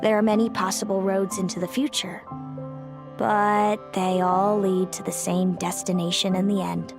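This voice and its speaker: A woman's voice: medium pitch, level tone, normal speed, lightly acted. Actress as a self-assured, fatalistic woman seer over music. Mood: confident challenge. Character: fatalistic woman seer, confident and resigned competitor. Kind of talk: scripted video game voice acting. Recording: music behind the voice.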